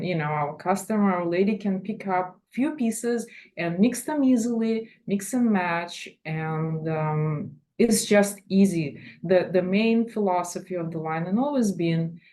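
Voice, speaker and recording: A middle-aged woman from Latvia giving casual interview answers, with hesitant, pausing phrasing. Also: on the low side, warm tone. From Latvia, former USSR. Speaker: fashion designer, interview guest.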